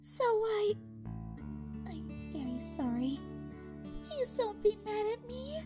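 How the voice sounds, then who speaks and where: A woman voicing a timid, gentle female pegasus pony, speaking very softly with hesitant stammers. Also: extremely high pitch, very animated, takes it slowly, over music, full character voice. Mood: timid, apologetic. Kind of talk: fan audio drama reading.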